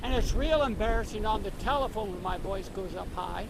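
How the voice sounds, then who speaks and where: A man doing stand-up comedy outdoors by the water, with a high-pitched voice, corny deadpan jokes and chatty asides to his audience. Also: brisk, vocal fry. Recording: loud wind noise on mic, outdoors.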